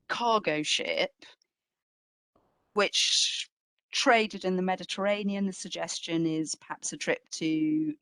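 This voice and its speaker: A woman's voice: lowish voice, no room sound. A woman speaking as an exhibition curator, answering informally and reflectively at a history talk, with conversational emphasis and frequent qualifications.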